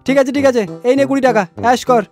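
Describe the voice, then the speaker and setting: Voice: high-pitched, steady tone, very fast, darkish tone, close to an everyday voice. A performer voicing a fussy, greedy, demanding customer in a comic cartoon, bossy and wheedling, with music behind. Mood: bossy, nagging. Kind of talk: Bengali comic cartoon dialogue.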